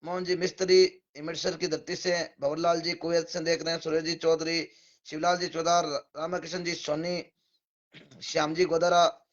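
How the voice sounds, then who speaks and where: A man with a Rajasthani accent and a hoarse, somewhat high voice greets his live-stream viewers one after another, thanking each by name in a relaxed way. The sound is dull and lo-fi, as if streamed from a phone. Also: normal speed. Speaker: devotional singer hosting a live stream.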